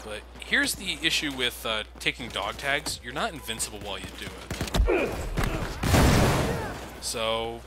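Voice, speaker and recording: A man's voice: medium pitch, sing-song, measured pace, long pauses. A man giving casual let's play commentary, sarcastic, self-deprecating and freely swearing. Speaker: video game commentator. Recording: game combat noise behind voice. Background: video game gunfire and vehicles.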